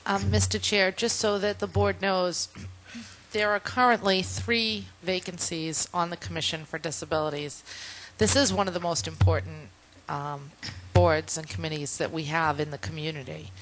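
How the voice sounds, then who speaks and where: A woman on a town board introduces the next agenda item and speaks briefly about committee vacancies, in a brisk, procedural way. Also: on the low side, fairly even intonation, a little hiss or hum, rough recording. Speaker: board member. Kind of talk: running a public meeting. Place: town board meeting room.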